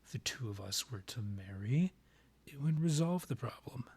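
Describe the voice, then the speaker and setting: Man acting vampire royal, breathy, medium pitch, haughty, formal, measured, condescending, romantic ASMR audio drama. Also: lively intonation, darkish tone. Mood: persuasive, composed. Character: haughty male vampire monarch, proud rival and ex-lover.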